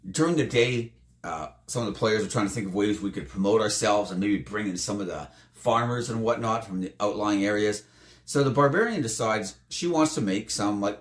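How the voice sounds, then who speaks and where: A slightly hoarse man telling a tabletop game story in a casual, animated way. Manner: animated, jumps up in pitch for emphasis. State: slightly hoarse, losing his voice. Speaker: tabletop game player recounting a campaign. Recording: roomy, echoey room.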